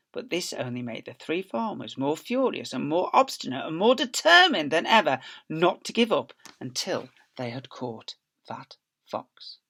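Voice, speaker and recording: A man's voice: a little high, a bit bright. A man, an infant school teacher, reading a story expressively to young children.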